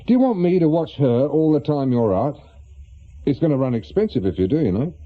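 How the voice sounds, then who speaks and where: Wry, animated English private detective. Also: male voice, fairly high pitch, fairly quick. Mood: dry, businesslike. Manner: wry, probing, blunt. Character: dry, world-weary middle-aged ex-policeman turned private detective. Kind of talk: British radio drama acting. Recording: muffled, lo-fi radio drama recording.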